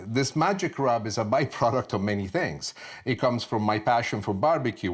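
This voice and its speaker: A man hosting a cooking show from his kitchen as a TV chef, speaking with passion and expression and often pausing on "uh". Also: lowish voice. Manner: passionate, expressive delivery with frequent "uh" fillers. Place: home kitchen.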